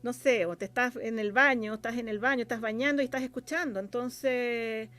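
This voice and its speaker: Chilean female guest, slightly high voice, casual and colloquial, thinks aloud while explaining. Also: steady tone, a little fast, a bit bright. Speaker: interview guest, radio drama scriptwriter and producer.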